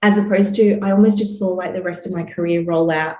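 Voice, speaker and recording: A woman's voice: on the low side, steady tone, fast, mellow, slight background noise. Chatty Australian woman in a roomy space. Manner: chatty, upbeat, many fillers like "you know" and "like". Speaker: podcast guest, entrepreneur. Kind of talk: casual interview storytelling. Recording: roomy echo.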